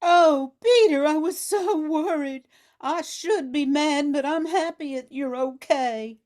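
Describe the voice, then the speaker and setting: A woman's voice: high-pitched, slow, darkish tone. A woman playing a worried, loving mother in an amateur radio drama. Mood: worried, relieved.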